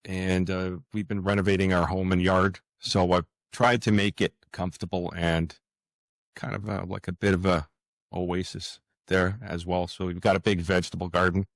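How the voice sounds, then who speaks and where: Deep-voiced Canadian man of sixty. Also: flat delivery, no room sound, studio quality. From Toronto, Canada. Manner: halting, hesitant, thinking aloud. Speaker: podcast guest, author. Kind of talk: casual conversational interview answers.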